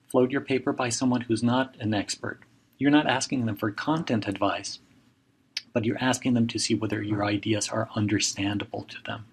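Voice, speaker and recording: Gravelly middle-aged man. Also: fairly low pitch, slight background noise. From Mexico. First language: Spanish. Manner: measured, advising, direct imperatives. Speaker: journal editor and professor. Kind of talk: recorded seminar lecture.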